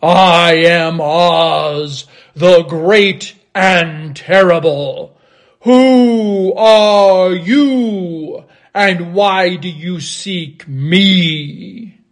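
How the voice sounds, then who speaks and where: Guy doing a commanding fiery wizard spirit, super slow drawn out words, storybook style. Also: sits high, heavily acted. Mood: imperious. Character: great and terrible wizard as fireball, imperious.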